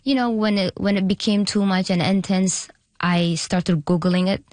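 A young woman from Eritrea, raised in Sudan, speaking as a guest in a radio studio interview, halting and often restarting her sentences. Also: medium pitch, fairly even intonation, a little mellow, no echo. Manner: halting, frequent restarts and hesitations. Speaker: guest, pageant contestant.

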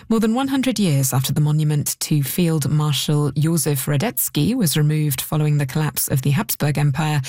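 Female news presenter for scripted links. Deep register, soft dark tone, very dry sound. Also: no room sound. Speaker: radio programme presenter.

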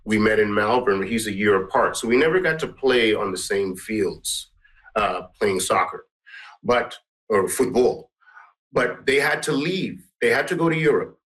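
A man's voice: fairly low pitch, measured pace, fairly bright tone, poor audio. Earnest, rambling middle-aged man. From Guyana. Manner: earnest, rambling, many restarts. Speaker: musician and composer. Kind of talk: interview answers.

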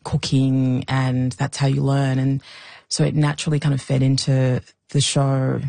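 A woman's voice: rumbling low, completely flat delivery, a little slow, creaky, mellow, dry recording. A woman from Zambia, a documentary maker and historian, answering interview questions in a conversational way.

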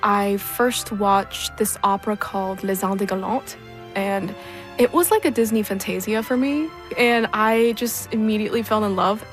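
Woman singer, english, excited and fond, soft music under her. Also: medium pitch, normal speed. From South Korea. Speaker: opera competition finalist. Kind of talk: recorded radio interview clip.

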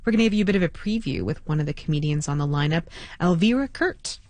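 A woman's voice: deep voice, animated, quick pace, a little mellow, no echo. A woman hosting a radio show, chatting casually and promoting an upcoming event in an upbeat way. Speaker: radio host. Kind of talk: casual on-air chat. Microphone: studio microphone.

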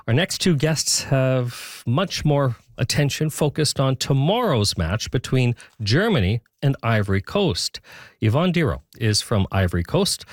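A man's voice: medium pitch, dry recording, studio quality. A man hosting a radio show, reading promos and interviewing guests in an upbeat, conversational way.

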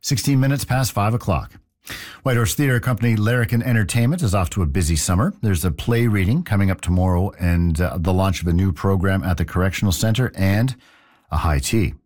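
Relaxed man hosting a radio show. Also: low-pitched, brisk. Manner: relaxed, friendly, some hesitations. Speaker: radio host. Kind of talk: radio interview. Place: radio studio.

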